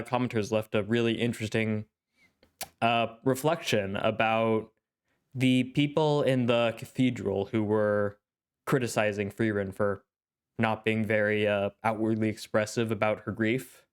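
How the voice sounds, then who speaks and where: Congested young man with a smooth voice. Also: on the low side. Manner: thoughtful, rambling, with frequent fillers. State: congested, clearing his throat. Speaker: anime reaction channel host. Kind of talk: casual solo commentary.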